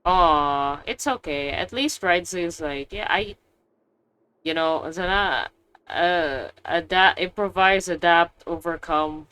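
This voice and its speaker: A woman streaming a game with a bright, forceful voice and a fairly slow pace. She pitches a bit low and chats casually in slang, with faint music behind her. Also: clean tone, low-quality recording. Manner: chatty, slangy. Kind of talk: casual playthrough commentary, reacting aloud.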